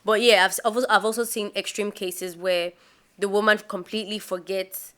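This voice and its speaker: A young Nigerian woman chatting with friends on a podcast, her voice bright and crisp. She tells stories with emphatic, animated reactions. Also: medium pitch, moderate pace. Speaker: podcast co-host. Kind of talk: casual group chat.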